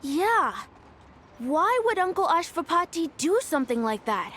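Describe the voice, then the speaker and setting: An actress voicing a worried, innocent young child in a video game, with a hesitant, earnest way of talking. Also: female voice, very high voice, very animated, a little slow, fairly bright tone, a little hiss or hum, full character voice.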